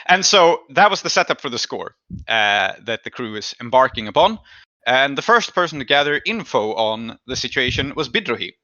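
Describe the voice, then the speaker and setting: Man, bright forceful voice, dry close sound, slightly muffled track, casual explaining game master. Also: medium pitch, sing-song, moderate pace, dry recording. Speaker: podcast host and game master.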